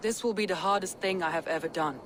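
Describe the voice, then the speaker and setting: An actress as a stern female warrior chieftain from a historical video game. She speaks gravely and deliberately, carrying the weight of betrayal, over faint background noise. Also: on the low side, natural voice. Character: commanding Danish woman clan leader, stern and wounded by betrayal. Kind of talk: cinematic game voice acting.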